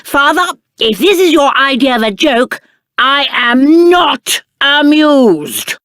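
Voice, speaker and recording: A man's voice: extremely high pitch, very animated, takes it slowly, pushed and bright, dry recording, clean studio sound, full character voice. A British man playing a spoiled, cowardly young prince in a video game, with a pompous, petulant and theatrical delivery. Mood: outraged. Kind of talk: video game character acting.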